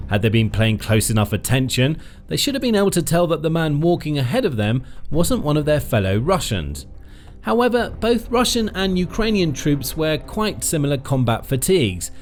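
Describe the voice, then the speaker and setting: Dramatic male documentary narrator, lively pitch. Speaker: narrator of a military news channel. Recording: faint music bed behind the voice.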